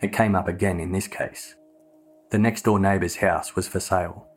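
A man reading scripted true crime narration in a measured, matter-of-fact way. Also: low-pitched, fairly even intonation, breathy edge, faint music behind.

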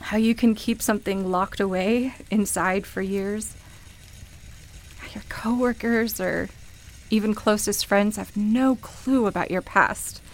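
An actress in a radio play voicing a guilt-ridden, grieving woman who confides in a halting, emotional way. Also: mid-range voice, fairly even intonation, lightly acted, low-quality recording. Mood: embarrassed confiding.

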